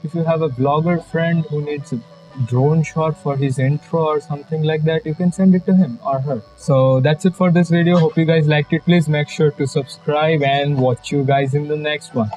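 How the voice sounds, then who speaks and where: A young man from India with a Bengali Indian English accent, giving a casual, jokey software tutorial as a channel host, full of fillers and asides. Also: on the high side, steady tone, normal speed, faint music behind, poor audio. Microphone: budget external mic.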